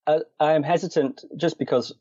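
A man's voice: fairly high pitch, fairly even intonation, moderate pace, mellow, no echo, lo-fi sound. A man from the Shetland Islands in Scotland telling stories as an interview guest, with dry, wry humour and a hesitant, qualifying way of talking. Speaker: interview guest, meditation and martial arts practitioner. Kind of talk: conversational interview, storytelling.

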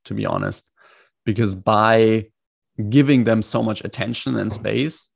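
A man's voice: fairly low pitch, measured pace, gravelly. A man whose first language is German, speaking as a coach in a casual video-call interview, reflective and hesitant, often restarting his sentences. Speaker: coach, guest.

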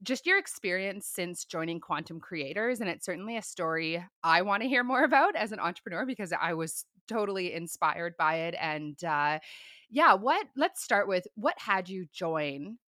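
A woman's voice: fairly low pitch, expressive, moderate pace, bright, forceful tone, no echo, studio quality. A woman hosting a podcast interview, asking friendly, hesitant questions. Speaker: podcast host.

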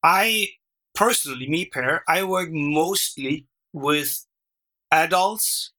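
Animated Danish man, bright voice. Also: on the high side, takes it slowly. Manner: animated, explains with rhetorical "right?" and repeated restarts. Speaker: podcast guest, workshop facilitator. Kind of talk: conversational interview.

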